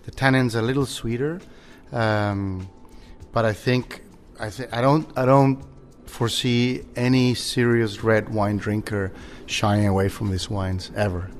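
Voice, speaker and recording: A man from Chile, a winery general manager, talking casually about wine with many halting restarts. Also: lowish voice, steady tone, a little hiss or hum. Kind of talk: casual conversation.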